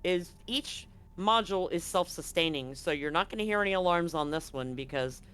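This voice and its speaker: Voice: mid-range voice, animated, moderate pace, a bit bright. A game master explaining a tabletop roleplaying game in a conversational, explanatory way.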